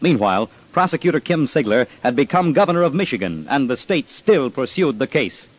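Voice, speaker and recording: A man's voice: medium pitch, sing-song, fairly quick. A man narrating an old-time radio crime documentary in a dramatic, punchy, emphatic scripted style. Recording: old radio with loud music.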